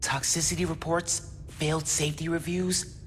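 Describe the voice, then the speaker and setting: A man's voice: fairly high pitch, level tone, moderate pace, faint music behind, lightly acted. A man playing an earnest, anxious teenage boy superhero in a video game, speaking with urgency. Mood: curious, alarmed. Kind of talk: video game cutscene acting. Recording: clear ambient noise behind.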